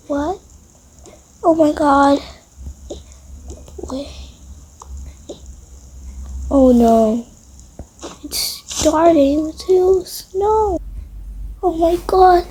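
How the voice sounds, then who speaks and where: A young-sounding storyteller improvising a pretend-play roleplay with several characters, in halting phrases. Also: high voice, warm tone, a little hiss or hum. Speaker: storyteller acting out toy roleplay.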